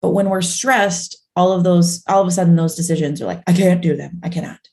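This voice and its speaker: Chatty low-voiced woman co-host. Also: a little fast, a little mellow. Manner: chatty, full of fillers and restarts. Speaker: podcast co-host. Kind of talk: casual chat.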